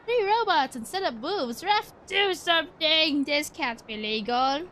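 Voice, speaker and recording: A woman's voice: high voice, animated, a little slow, heavy background noise. A woman giving playful, reactive, casual commentary on a gaming livestream, with game music behind her. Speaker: streamer.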